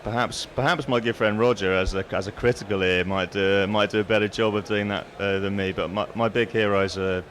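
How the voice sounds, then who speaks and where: Low baritone man, modest guest. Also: steady tone, brisk, few pauses, a bit bright. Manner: modest, hesitant, many fillers. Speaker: guest, singer-songwriter. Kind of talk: casual radio interview.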